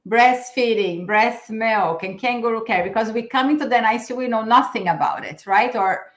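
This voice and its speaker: Middle-aged woman interviewer, echoey room. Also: on the high side. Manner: halting, restarts questions. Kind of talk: video call interview. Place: room at home. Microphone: video call webcam.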